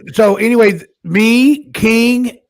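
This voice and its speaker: A man hosting a live stream, chatting loosely and loudly, coaxing his guests and repeating himself. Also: very high voice, measured pace. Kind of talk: casual live stream chat.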